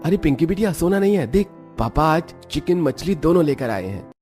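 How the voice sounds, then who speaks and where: Poor working dad for a cartoon, homely, expressive, slightly high male voice man acting. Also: a little fast, faint music behind, natural voice. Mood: doting. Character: poor, affectionate labourer father and elder brother. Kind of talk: Hindi cartoon story acting.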